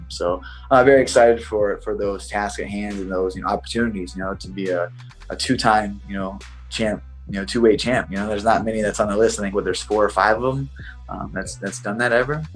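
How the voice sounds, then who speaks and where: A man, a professional fighter, giving a casual interview answer in a halting but self-assured way. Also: on the low side, breathy edge, low-quality recording.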